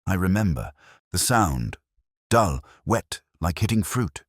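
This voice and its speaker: A man voicing a weary, guilt-ridden elderly English magistrate in a story, confessing in halting, formal phrases. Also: low-pitched, sing-song, husky, no room sound, studio quality, voice pushed up, full character voice. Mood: guilty confession.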